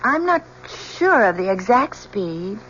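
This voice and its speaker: Troubled young woman, hesitant and emotional, highly varied pitch, muffled vintage sound. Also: very animated, slow, fairly bright tone. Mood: hesitant, subdued. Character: troubled, conscience-torn young woman, a dutiful daughter. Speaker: actor in a radio drama. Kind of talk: old-time radio drama acting. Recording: muffled old radio recording, faint noise.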